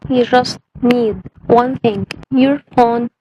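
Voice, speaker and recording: A woman's voice: a little high, fairly even intonation, takes it slowly, mellow, lo-fi sound, a little hiss or hum. A woman in her twenties from Egypt, a native Arabic speaker with an Egyptian Arabic accent, talking to camera in a casual vlog in careful, simple learner's English. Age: 20s. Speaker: language-learning vlogger.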